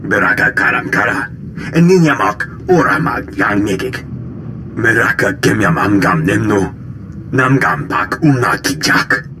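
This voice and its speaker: A man's voice: fairly low pitch, expressive, a little slow, noisy background. A man voicing an alien cultist in a video game, chanting guttural syllables of an invented tongue. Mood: intoning.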